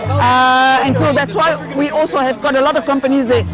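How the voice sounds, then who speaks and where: A woman's voice: sits high, fairly even intonation, a little fast. Woman at a noisy event. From Belgium, Brussels. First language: French. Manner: many restarts and repeated words, chatty. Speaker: chamber of commerce representative, guest. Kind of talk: casual interview at an event. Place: busy outdoor food event. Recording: loud crowd and music behind.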